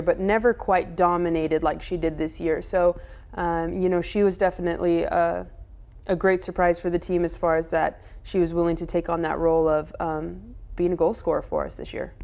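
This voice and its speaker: Female college soccer coach, American, lowish voice, recap interview delivery. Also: darkish tone.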